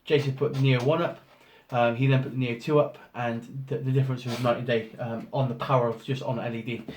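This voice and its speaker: Hoarse guy with a cold doing an unboxing, lots of ums, mid pitch, echoey room. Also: level tone, a little fast, darkish tone. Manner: rambling, many ums and restarts. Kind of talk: casual product walkthrough. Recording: roomy echo, faint noise.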